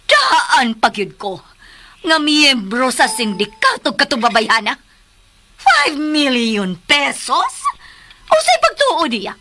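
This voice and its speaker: A domineering Filipino mother in a radio serial. Her voice is high and bright, swinging widely as she exclaims with suspicion and indignation. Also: wildly swooping pitch, takes it slowly, exaggerated voice. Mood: indignant, alarmed. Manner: exclamatory, indignant, switches into English. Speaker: radio drama actress. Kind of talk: emotional radio drama acting. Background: background music.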